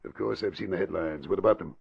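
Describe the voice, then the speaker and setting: An actor playing a brusque, confident, cynical middle-aged crooked boss in an old-time radio drama. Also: male voice, deep voice, fast talker, gravelly, a crowd in the background. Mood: irritated. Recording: dull lo-fi vintage radio recording.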